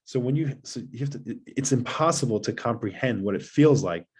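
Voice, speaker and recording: A man, a father of seven, guesting on a podcast and talking earnestly in long rushing runs of advice. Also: mid-range voice, expressive, a little mellow, slightly rough. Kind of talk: conversational advice.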